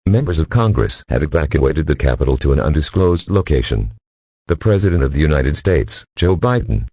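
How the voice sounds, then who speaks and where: A man voicing a stern, formal emergency alert announcer, measured and impersonal, reading a scripted broadcast warning. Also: extremely low pitch, darkish tone, breathy edge, dry recording, full character voice.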